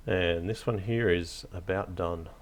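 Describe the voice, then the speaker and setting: A man hosting a hobby tutorial, narrating casually off the cuff in a hesitant, low-key, encouraging way. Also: steady tone, measured pace, a little hiss or hum. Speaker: hobby craft tutorial host.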